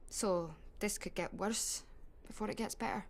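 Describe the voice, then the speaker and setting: A woman's voice: mid-range voice, moderate pace, lightly acted. Woman playing a wry, firm schoolmistress. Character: dry, firm, wry female school staff member. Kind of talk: video game cutscene acting.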